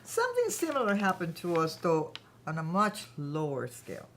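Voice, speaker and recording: A woman's voice: on the low side, huge pitch swings, normal speed, a bit bright, a little hiss or hum, heavily acted. An actress as a polished, self-assured woman boss. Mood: recounting, wry. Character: chatty, self-assured small-company boss pitching her business. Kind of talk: staged reading of a workplace satire.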